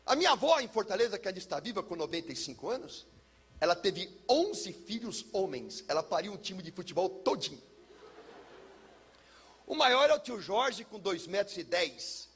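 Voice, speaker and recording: A Brazilian man speaking as a pastor, telling a personal testimony in an emphatic, theatrical way with deliberate repetition. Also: high-pitched, fairly bright tone, slightly rough. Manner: emphatic, theatrical, uses pauses and repetition for effect. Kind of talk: personal testimony, dramatic storytelling to listeners.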